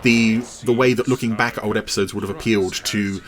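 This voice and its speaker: A man's voice: lowish voice, fairly even intonation, fast talker, a crowd in the background. A man giving rambling, enthusiastic podcast commentary over cartoon clips. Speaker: podcast reviewer.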